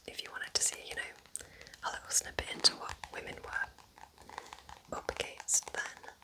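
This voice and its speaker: A woman whispering gently and unhurriedly in a breathy, chatty ASMR style as she recommends books. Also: unhurried. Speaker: ASMR video creator playing a kind witch.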